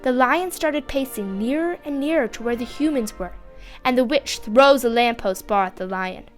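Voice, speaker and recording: High-voiced young female story narrator. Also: normal speed, faint music behind.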